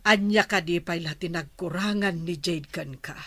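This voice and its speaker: A woman's voice: lowish voice, moderate pace, a bit bright, dry recording. An actress playing a moralizing mother who scolds her grown son in an Ilocano radio drama. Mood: reproachful.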